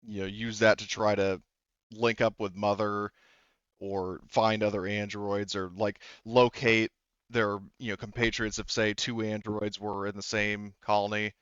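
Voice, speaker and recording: Male game master, smooth and lowish, hesitant rambling explainer, slightly lo-fi recording. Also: little pitch movement, measured pace, a bit bright, no room sound. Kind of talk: improvised tabletop game narration.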